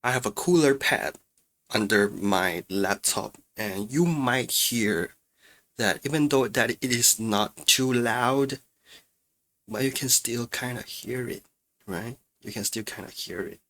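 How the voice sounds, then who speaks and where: A man's voice: medium pitch, slow pace, fairly bright tone. A man presenting an audio tutorial in a casual, explanatory way, repeating himself for emphasis, recorded on a studio microphone in a home room. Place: small untreated home room with furniture. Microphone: close studio microphones, condenser then dynamic.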